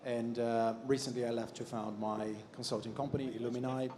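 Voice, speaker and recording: A man's voice: medium pitch, little pitch movement, brisk. A man from Brazil with a Brazilian accent, speaking professionally as a panelist on stage. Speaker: panelist, AI consultant. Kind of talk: professional self-introduction on a panel. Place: stage at a conference. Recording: audible crowd in event hall.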